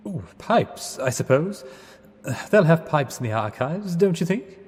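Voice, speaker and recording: A man's voice: a little high, big pitch swings, measured pace, slight background noise. An actor playing a bumbling, apologetic Englishman in a period radio drama, with an English accent and a hesitant, flustered, polite way of talking. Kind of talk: 1920s-set radio drama acting. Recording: reverberant hall-like space.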